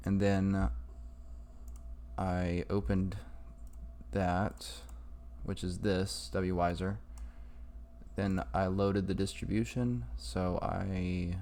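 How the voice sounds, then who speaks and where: A man with a low voice walks through a casual computer tutorial. He takes his time, speaking slowly and informally as he improvises each step. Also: level tone, darkish tone, slight background noise. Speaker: tutorial maker. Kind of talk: casual screen-recorded tutorial.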